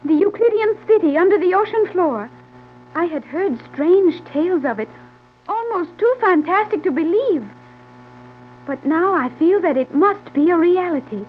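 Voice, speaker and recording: An actress playing a calm, formal young guide in a 1930s radio serial, speaking in a measured, precise way. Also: female voice, extremely high pitch. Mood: grave, assured. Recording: scratchy, tinny, humming 1930s radio recording.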